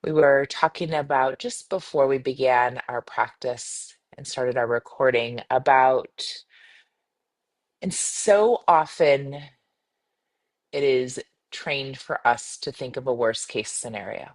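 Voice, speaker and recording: Female meditation guide, mid-to-low voice for a woman, soothing and unhurried, gentle instructions with spacious pauses. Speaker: meditation guide, yoga teacher.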